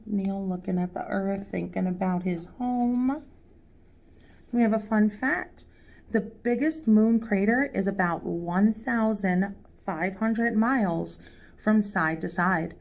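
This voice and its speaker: A woman's voice: medium pitch, gravelly, darkish tone, highs cut off. A woman reading a children's picture book aloud as a storytime reader, addressing young listeners directly. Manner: pauses to ask young listeners questions.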